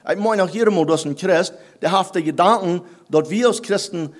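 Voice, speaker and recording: Halting Plattdeutsch-speaking male preacher. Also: high-pitched. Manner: halting, searching for German words, mixing in English. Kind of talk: sermon.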